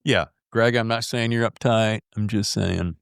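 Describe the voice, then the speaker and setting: A man's voice: on the low side, fairly even intonation, a little slow, gravelly, smooth, no echo, clean studio sound. A middle-aged American man hosting a podcast in a casual, slangy, effusive way. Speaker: podcast host. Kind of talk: casual podcast interview chat.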